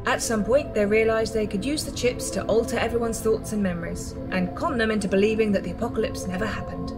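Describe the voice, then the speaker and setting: A woman's voice: medium pitch, fairly even intonation, fast talker, lightly acted. Radio drama rebel played by a woman: grim, earnest ex-insider, emphatic delivery, mid-range female voice over prominent music. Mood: grave. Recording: music bed under the voice.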